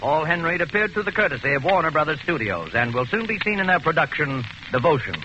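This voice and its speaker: A man making a formal 1940s radio announcement in a crisp, declamatory manner. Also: fairly high pitch, big pitch swings, fast, pushed and bright. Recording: muffled old radio broadcast with hiss.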